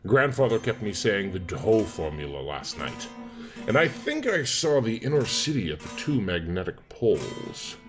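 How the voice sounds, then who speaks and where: Guy doing a creepy country warlock kid reading his diary, deep and really animated, unschooled talk, music faintly behind. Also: moderate pace. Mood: ominous, matter-of-fact. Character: eerie precocious rustic boy sorcerer reading his diary. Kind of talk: dramatized diary reading in horror audiobook.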